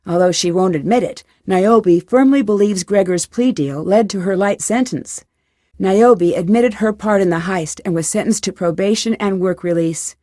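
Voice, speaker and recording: Woman as teen girl sleuth, scripted game narration, medium pitch, confident, dry studio sound. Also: normal speed, dark tone, dry recording, close to an everyday voice. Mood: upbeat, wrapping up. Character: bright, confident teenage girl detective recounting her cases.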